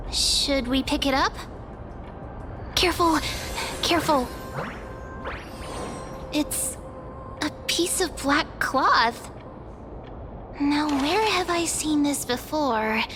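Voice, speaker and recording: Very high-pitched, anxious young game girl. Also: animated, slow pace, pauses often, music in the background. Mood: curious, wary. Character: small young girl companion, cheerful, anxious, easily startled. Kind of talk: video game voice acting.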